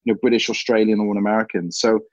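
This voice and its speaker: A man's voice: on the low side, little pitch movement, racing, no room sound. A man in his thirties from Hong Kong, answering interview questions in a rambling way, full of "you know". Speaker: interview guest. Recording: dull lo-fi sound.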